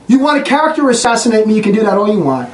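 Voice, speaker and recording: A man giving an impassioned religious talk to a group in a fast, blunt, combative way. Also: sits high, big pitch swings. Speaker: religious preacher. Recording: echoey room, distant mic, faint noise.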